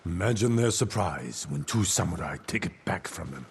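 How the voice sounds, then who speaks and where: An actor playing a stern older samurai lord in a video game, gravelly, measured and authoritative. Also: male voice, sits low, lively intonation, a little hiss or hum. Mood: commanding. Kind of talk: cinematic video game dialogue. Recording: music under the dialogue.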